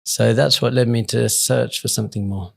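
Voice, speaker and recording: A man's voice: medium pitch, fairly even intonation, moderate pace, vocal fry, husky. Reflective Englishman telling his story. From England. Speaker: rabbi and podcast guest. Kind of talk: conversational interview storytelling.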